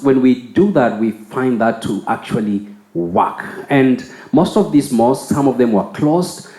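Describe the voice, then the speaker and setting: A man speaking unscripted as a conference panelist and researcher, haltingly and often repeating words. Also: lowish voice, dark tone. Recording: roomy conference hall sound.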